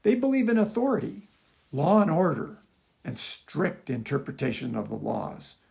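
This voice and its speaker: A man with a gravelly, creaky voice reads his own article as a measured, explanatory lecture with occasional asides. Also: a little high, very animated, a little mellow. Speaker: author reading own article. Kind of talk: scripted lecture-style narration with asides.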